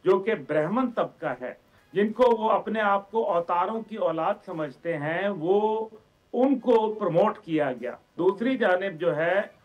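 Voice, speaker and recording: A man's voice: high-pitched, lively intonation, a little slow, dull audio. A man with a Pakistani accent giving emphatic, lengthy explanations as a guest commentator on a political television talk show. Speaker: guest commentator, organisation chairman.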